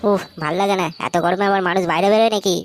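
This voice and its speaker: A woman's voice: medium pitch, fairly even intonation, racing, soft background music, natural voice. A woman voicing a lazy, crude, grumbling young village man in a comic cartoon dub, in slangy speech. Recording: noisy track with birdsong.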